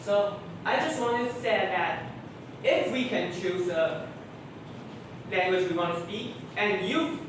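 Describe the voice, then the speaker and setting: A fairly high-voiced man, his Chinese, answering debate questions in halting English with many restarts. He sounds distant from the mic in a very echoey room. Steady background noise sits under his voice. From Taiwan. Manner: halting, searching for words, frequent restarts. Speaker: student debater. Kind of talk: debate crossfire.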